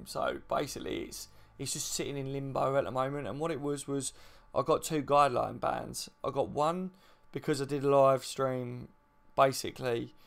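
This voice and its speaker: A man with a deep voice hosting a casual live stream from home, speaking quietly and in a laid-back way with the mic right in front of his mouth. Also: gravelly. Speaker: channel host. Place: at home, baby asleep next door.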